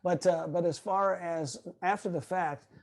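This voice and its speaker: An older man answering questions informally as a guest speaker on a video call, in a halting, rambling way. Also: high-pitched, steady tone. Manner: halting, rambling, loses his train of thought. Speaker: guest speaker, radio history author. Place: online video meeting. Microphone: video call microphone.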